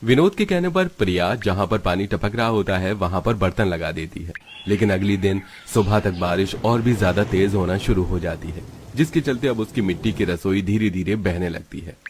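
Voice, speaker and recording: A man's voice: low-pitched, normal speed, darkish tone, a little hiss or hum. A man reading scripted narration for a children's moral story.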